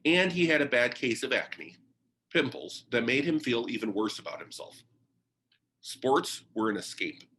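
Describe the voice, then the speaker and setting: A man reading a children's biography aloud in deliberate, short phrases with pauses, like a teacher sharing a picture book. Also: mid-range voice, expressive, bright, forceful tone.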